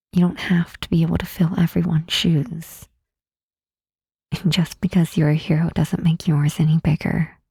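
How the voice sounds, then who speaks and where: A woman playing a gentle, reassuring demon queen in an intimate ASMR roleplay, speaking softly and tenderly with a breathy, husky voice and deliberate pauses. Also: fairly low pitch, dry recording, studio quality, exaggerated voice. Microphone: close mic, dry.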